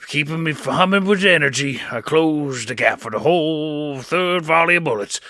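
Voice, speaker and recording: An actor voicing Reagan, a rugged gunslinger with a wry, understated way of speaking. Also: male voice, on the high side, lively intonation, moderate pace, bright, forceful tone, no echo, studio quality.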